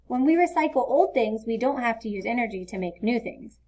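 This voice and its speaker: A woman's voice: a little high, lively intonation, brisk, darkish tone. A woman reading the part of a patient, caring mother who explains things in a children's storybook. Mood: explaining. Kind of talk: children's storybook read-aloud.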